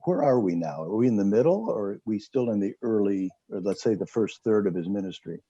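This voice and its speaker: A man taking part in a Bible study discussion, speaking in a halting, deliberate way and pausing mid-phrase. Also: on the low side, expressive, dark tone, breathy edge, no echo, highs cut off. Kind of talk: casual group discussion.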